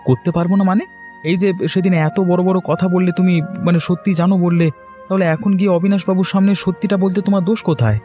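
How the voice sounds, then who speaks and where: A man's voice: high-pitched, fairly even intonation, fast, warm tone, soft background music, natural voice. A man playing an honest, aggrieved young villager in a cartoon story, earnest and complaining. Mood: hurt, indignant. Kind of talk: dramatic cartoon story dialogue.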